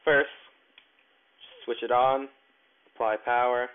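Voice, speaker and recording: A man narrating a step-by-step tech tutorial in a plain, instructional way with halting phrasing. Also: mid-range voice, little pitch movement, clear, resonant tone. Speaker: tutorial presenter. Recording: tinny, narrow phone-like sound.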